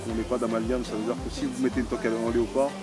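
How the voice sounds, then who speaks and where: A man's voice: mid-range voice, level tone, rapid-fire, over music. A man hosting a casual interview, explaining emphatically and rephrasing his points. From Congo. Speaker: interviewer and host.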